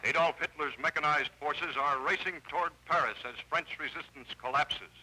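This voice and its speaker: A man's voice: a little high, normal speed, bright, forceful tone, breathy edge. A man announcing war news in the style of a 1940s newsreel bulletin. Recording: faintly noisy vintage news recording.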